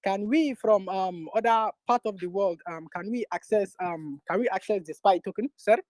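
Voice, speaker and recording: A man's voice: high voice, moderate pace, a little mellow, dry recording. A man from India with an Indian accent, asking a question on a voice chat, polite and hesitant, saying sir again and again. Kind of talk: casual voice-chat Q&A.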